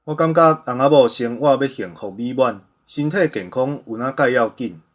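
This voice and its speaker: A man with a Taiwanese accent, hosting radio with a grandiose, earnest sales pitch. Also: moderate pace. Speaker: radio host pitching a product.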